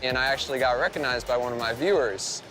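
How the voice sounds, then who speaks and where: A man's voice: mid-range voice, fast talker, loud music behind the voice. A man in his late teens, a gaming fan and streamer, giving casual interview answers. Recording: noisy urban event ambience. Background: clear background noise.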